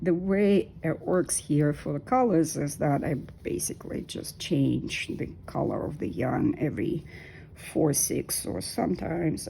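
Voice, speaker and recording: Low, gravelly-voiced woman in her fifties. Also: dark tone, slight background noise. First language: Russian. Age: 50s. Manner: unhurried, hesitant with many ums. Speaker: knitting vlogger. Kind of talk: casual talk while knitting.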